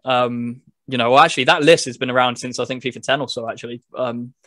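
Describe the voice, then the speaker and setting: A man's voice: mid-range voice, fairly even intonation, quick pace, bright, forceful tone, dry recording. Man casually explaining things, dry sound. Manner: explanatory, step-by-step. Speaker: podcast co-host reviewing a video game. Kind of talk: casual chat.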